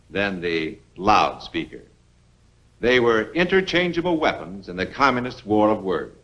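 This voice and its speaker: A man narrating a 1950s military propaganda film with dramatic, deliberate phrasing. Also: medium pitch, bright, forceful tone, poor audio.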